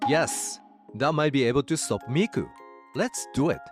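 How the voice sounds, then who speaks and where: Man acting a concerned young fiancé, animated intonation. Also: mid-range voice, moderate pace, faint music behind, close to an everyday voice. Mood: concerned, agreeing. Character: concerned young male fiancé, disbelieving but supportive. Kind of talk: dramatized manga story dub.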